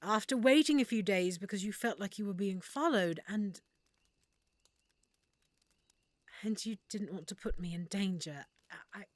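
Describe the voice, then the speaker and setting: A woman playing an affectionate, excitable half-elf shopkeeper in a roleplay audio drama, speaking expressively and warmly to the listener. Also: mid-range voice, normal speed, no echo, close to an everyday voice. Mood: tender, sincere. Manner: expressive, warm, reacting with feeling.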